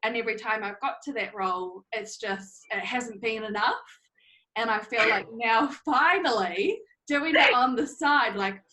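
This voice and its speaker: A young woman with a New Zealand accent, chatting casually as an interview guest, often laughing and filling pauses with "like" and "um". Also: fairly high pitch, bright, forceful tone, slight background noise, rough recording. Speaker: interview guest, social media manager.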